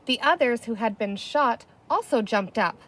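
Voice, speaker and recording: Higher-voiced woman reading a story aloud. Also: animated, normal speed, a bit bright. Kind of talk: expressive read-aloud narration with character dialogue. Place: outdoors in the open air. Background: birdsong, wind chimes, a barking dog.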